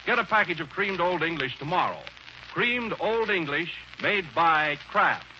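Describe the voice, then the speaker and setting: A man's voice: fairly high pitch, lively intonation, unhurried, sharp tone. A man reading a scripted commercial as an old-time radio announcer. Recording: dull old radio recording, faint noise.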